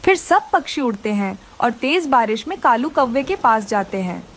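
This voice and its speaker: A woman narrates a children's story in a high voice with lively movement in pitch. Her delivery is scripted storytelling for animation. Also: moderate pace.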